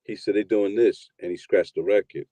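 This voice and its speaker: A man in his fifties from New York telling his story in a relaxed, rambling interview, often repeating words as he starts. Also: lowish voice, normal speed, no echo. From New York, USA. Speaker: guest, producer and DJ.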